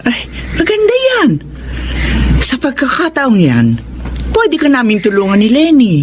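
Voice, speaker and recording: An actress playing an outspoken, protective middle-aged mother with a Filipino accent in a radio drama, pointed and expressive. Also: sits high, unhurried, a little hiss or hum. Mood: interested, approving.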